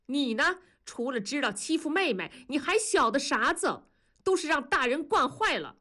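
A woman's voice: high-pitched, sing-song, normal speed, sharp tone, pitched above the performer's own voice, full character voice. Old Sichuan-accented granny, nagging and aggrieved, voiced by a woman narrator; storybook reading. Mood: scolding. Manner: grumbling, complaining.

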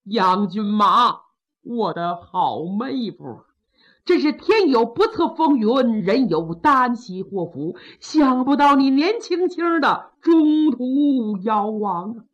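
A woman voicing a grief-stricken royal prince who cries through a slow, drawn-out, wailing lament. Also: fairly high pitch, big pitch swings, highs cut off, full character voice. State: crying.

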